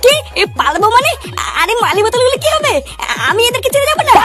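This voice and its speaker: A woman voicing a cheeky, foul-mouthed young male drinking buddy in a comic cartoon, slangy and excitable. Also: very high-pitched, fast talker, loud music behind the voice. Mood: alarmed. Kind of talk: comic Bengali cartoon dialogue.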